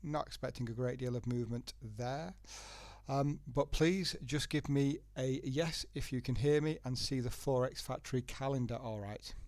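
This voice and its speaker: A man's voice: mid-range voice, normal speed, darkish tone, no room sound, studio quality. A man with a head cold and a blocked nose, hosting a live trading webinar and walking through charts step by step in a chatty way. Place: working from a laptop in a home setting. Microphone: laptop.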